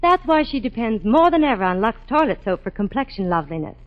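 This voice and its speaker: An American woman delivers scripted, chatty radio advertising in 1940s style. Her voice is fairly high and lilts widely, and the vintage recording is dull. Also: sing-song, quick pace. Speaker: radio reporter and pitchwoman.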